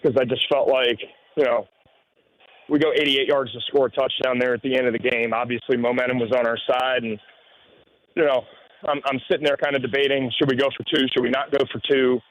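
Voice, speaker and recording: Muffled radio audio, male football coach explaining stuff off the cuff, mid pitch, lots of you knows. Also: flat delivery, a little fast. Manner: explanatory, sprinkled with "you know". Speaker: high school football coach. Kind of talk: spontaneous interview answers.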